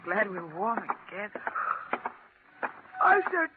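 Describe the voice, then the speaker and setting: A woman's voice: mid-range voice, sing-song, takes it slowly. An actress playing an anxious, doubting young woman in a 1940s radio drama, hesitant and fretful. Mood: weary, worried. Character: anxious, doubting young woman, devoted but easily rattled. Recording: noisy muffled 1940s radio recording.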